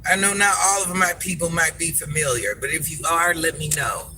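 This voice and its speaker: A high-pitched man talks to his livestream viewers, chatty and easily sidetracked. He calls himself granny and greets people by name. Steady car noise hums under his voice. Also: brisk, low-quality recording. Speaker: livestream host. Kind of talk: casual live chat with viewers.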